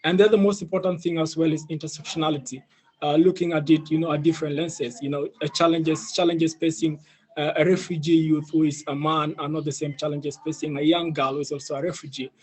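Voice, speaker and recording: Even, higher-voiced young East African man. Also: fast talker, low-quality recording. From Ethiopia, of South Sudanese family. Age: young adult. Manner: earnest, frequent "you know". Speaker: youth leader, panel speaker. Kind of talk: personal presentation on an online panel. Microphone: video call.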